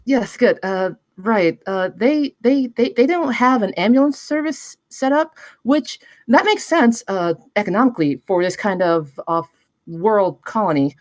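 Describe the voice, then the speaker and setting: A woman reading the part of an anxious, awkward young woman in a screenplay table read, halting and stammering. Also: medium pitch, lively intonation, no echo, close to an everyday voice. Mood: thinking aloud.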